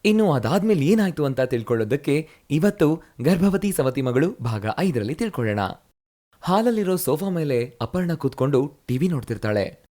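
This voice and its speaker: A man narrating a cartoon moral story in a steady, explanatory way. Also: a little high, moderate pace.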